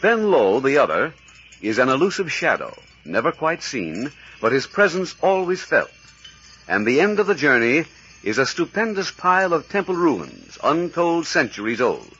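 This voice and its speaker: An actor as the announcer and narrator of a 1940s radio adventure serial, portentous and declamatory. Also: male voice, on the high side, sing-song, normal speed, fairly bright tone, breathy edge, heavily acted. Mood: suspenseful. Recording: muffled vintage 1940s radio recording.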